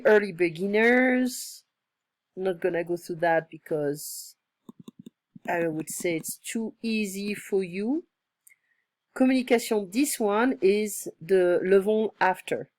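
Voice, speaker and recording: A woman tutoring one-on-one, her voice slightly hoarse from illness, explaining patiently and repeating phrases. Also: mid-range voice, darkish tone, dry recording. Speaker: French tutor. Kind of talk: one-on-one online language lesson.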